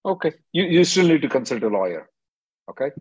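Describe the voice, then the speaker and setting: A man, a lawyer advising callers on a conference call in a brisk, emphatic manner, repeating phrases for stress. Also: a little high, sing-song, breathy edge, no room sound, lo-fi sound. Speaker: immigration lawyer hosting a call-in.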